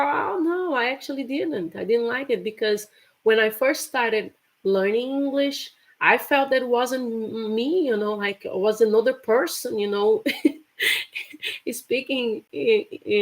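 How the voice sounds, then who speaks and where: Woman, English teacher, somewhat high voice, slow, chatty. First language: Portuguese. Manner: chatty, repeats example phrases with laughs. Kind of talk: live online English lesson.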